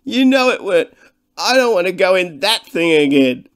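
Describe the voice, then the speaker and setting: A man's voice: high-pitched, sing-song, moderate pace, clear, resonant tone, pitched above the performer's own voice. A man voicing a frightened, simple-minded country boy who sobs and pleads in an audiobook. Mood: distressed. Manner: whimpering, pleading.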